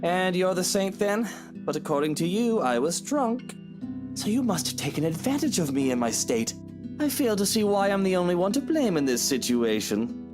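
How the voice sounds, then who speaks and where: A woman's voice: lowish voice, very animated, normal speed. A woman voicing a smug married man in a visual novel, sneering, condescending and threatening, with music behind. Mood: accusing. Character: smug married male cheater, taunting and menacing. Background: game music with guitar.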